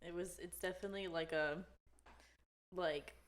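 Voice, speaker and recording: A woman's voice: fairly low pitch, steady tone, moderate pace. A woman on a casual podcast, talking through her experiences thoughtfully and hesitantly, with many "like" fillers. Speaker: podcast co-host. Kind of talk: casual chat.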